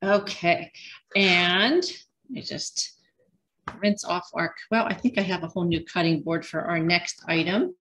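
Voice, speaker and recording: A woman's voice: on the low side, fairly even intonation, a little slow, fairly bright tone. A woman teaching a casual cooking demonstration, chatty and rambling with frequent ums and restarts. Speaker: dietitian cooking instructor.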